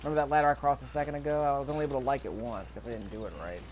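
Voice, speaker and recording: A man's voice: mid-range voice, steady tone, very fast, slight background noise. A man giving casual gameplay commentary, thinking aloud to himself as he plays. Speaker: gamer recording a gameplay video.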